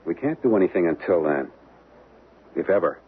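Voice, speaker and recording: An actor playing a wary, easygoing young American husband in a radio drama, speaking in slangy, hesitant phrases. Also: male voice, deep voice, very animated, normal speed, breathy edge, heavily acted. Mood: cautious, resisting. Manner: slangy, hesitant, repeating words. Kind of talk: old-time radio drama acting. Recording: tinny, band-limited vintage radio, hissy.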